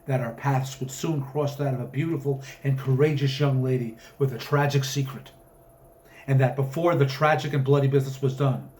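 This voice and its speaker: A man's voice: medium pitch, fairly even intonation, normal speed, a little mellow, slight background noise, close to an everyday voice. A man voicing a pompous old colonel who tells florid, melodramatic adventure tales in a storybook reading. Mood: grandly ominous.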